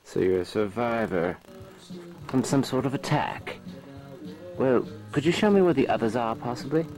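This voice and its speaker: A man playing a battered, vengeful wanderer in a halting, casual way for an amateur animated film. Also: medium pitch, soft background music, lightly acted. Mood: puzzled, somber.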